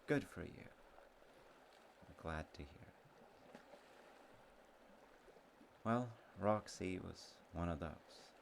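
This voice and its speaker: Casting: male actor as sweet, sentimental grown-up childhood friend for intimate audio roleplay; very low, unhurried delivery, halting and gentle. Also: gravelly, slight background noise, full character voice. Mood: wistful, tender. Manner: halting, gentle, wistful, with trailing pauses. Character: sweet, slightly slow, sentimental childhood friend, a man reminiscing.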